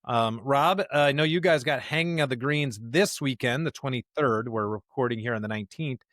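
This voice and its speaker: A man's voice: mid-range voice, normal speed, fairly bright tone, no echo. Male American podcast host, mid-range voice, dry sound, chatty, informal pastor delivery. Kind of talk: casual podcast chat.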